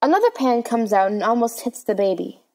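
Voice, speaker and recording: A woman's voice: on the high side, animated, fairly quick, a little mellow. A woman narrating stage directions from a script for a storybook play.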